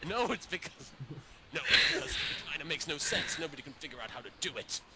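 A man voicing an excitable young boy card duelist in a high voice. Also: quick pace. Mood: excited. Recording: noisy fan-made video audio.

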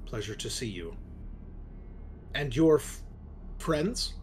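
A man's voice: medium pitch, very animated, takes it slowly, slight background noise. A man voicing a polite, formal android guard on a space station in a tabletop game.

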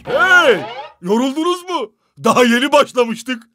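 A super high excitable little toy truck for a kids cartoon, really animated n expressive, music under it. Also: male voice, a little slow, heavily acted. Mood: eager, upbeat. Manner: exaggerated, expressive delivery. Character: excitable male toy dump truck, sleepy, kind-hearted. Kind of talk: children's cartoon dubbing.

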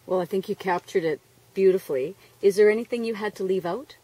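A woman's voice: mid-range voice, fairly quick, husky, rough recording. A woman interviewing an author on a farm patio, complimentary and teasing. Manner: warm praise, playful teasing questions. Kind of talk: book interview.

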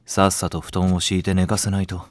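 A man's voice: low-pitched, completely flat delivery, a little fast, no echo, close to an everyday voice. An actor playing a blunt, lazy, jaded male nursery teacher in a Japanese voice drama, talking offhandedly. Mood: curt, irritated. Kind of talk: Japanese anime-style voice drama.

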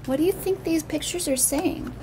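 A woman's voice: sits high, moderate pace. A young woman doing a picture book read-aloud, describing pictures and asking simple, wondering questions as if to small children. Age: young adult. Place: small room at home, indoors. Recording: noticeable background noise, home recording.

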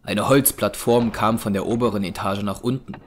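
A man narrating a scripted audio drama as a measured storyteller. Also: lowish voice, slight background noise. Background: music.

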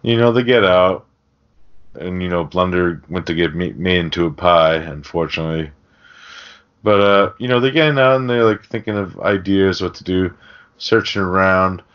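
A man with a low voice chats casually as a podcast host recapping a film. His talk is slangy and full of swearing. The recording is a little dull and lo-fi. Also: normal speed, smooth.

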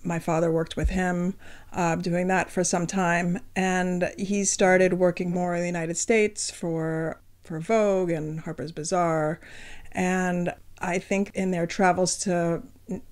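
A woman's voice: fairly low pitch, level tone, slow, darkish tone. A middle-aged woman from France, a restaurant owner answering interview questions in a casual, hesitant way with many ums.

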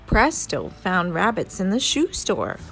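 A woman reading a children's story aloud as an expressive storyteller. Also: mid-range voice, measured pace, faint music behind, rough recording.

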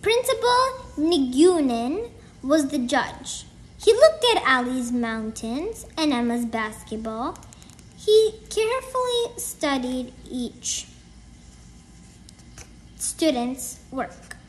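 A woman's voice: sits high, very animated, slow pace. A woman reading a children's storybook aloud in a careful, word-by-word way. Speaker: storybook reader. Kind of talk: children's book read-aloud.

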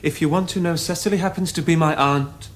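High-voiced, indignant young Victorian gentleman played by a man. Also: fairly even intonation, a little fast, close to an everyday voice. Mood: indignant, evasive. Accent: upper-class English. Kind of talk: period stage comedy acting for television.

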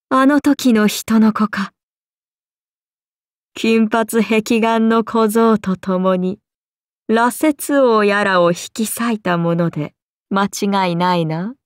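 A woman's voice: mid-range voice, fairly even intonation, slow pace, pauses often, no echo, clean studio sound, natural voice. A woman voicing a haughty, amused and predatory ancient serpent-god oni in a game, speaking in archaic, imperious, languid phrases. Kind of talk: Japanese game character voice lines.

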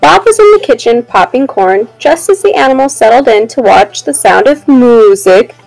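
Young lady reading a kids picture book, high voice, super up and down, expressive. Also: normal speed, gravelly, dry recording, soft background music, poor audio. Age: young adult. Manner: expressive, addressing children. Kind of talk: children's storybook read-aloud.

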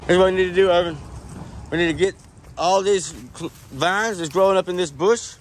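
A man's voice: high voice, fairly quick, slight background noise. A man, explaining a yard job step by step in a casual, coaching way. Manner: coaching, talks things through step by step. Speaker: landscaper, vlogger. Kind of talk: instructive casual chat. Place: outdoors, in a yard.

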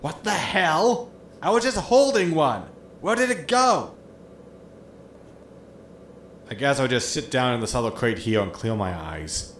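A man's voice: mid-range voice, expressive, normal speed, fairly bright tone, natural voice. Man acting a sarcastic spaceship crewman, echoey. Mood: alarmed. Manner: sarcastic, exasperated. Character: grumbling, money-minded, sarcastic spaceship crewman. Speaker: actor in an audio drama. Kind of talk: sitcom audio drama acting. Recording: roomy echo with noisy ambience.

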